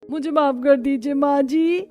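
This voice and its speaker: A woman voicing a dutiful, expressive young daughter-in-law in an animated family story. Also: high-pitched, unhurried, no room sound. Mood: pleading. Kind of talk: Hindi cartoon story acting.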